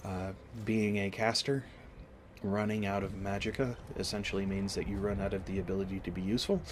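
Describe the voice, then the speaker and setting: A man with a slight cold giving casual let's-play commentary, explaining things in a deliberate, halting way. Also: sits low, flat delivery, background noise. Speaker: gaming video host. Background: game music.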